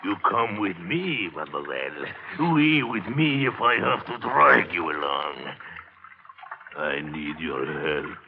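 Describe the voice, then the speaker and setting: An actor playing a crazed old French man in a radio horror play, gloating and wheedling with a French accent and a gravelly voice. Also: sits low, very animated, noisy background, full character voice. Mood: menacing, insistent. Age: older. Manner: slow, wheedling, gloating, with sudden swoops. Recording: dull lo-fi old radio recording.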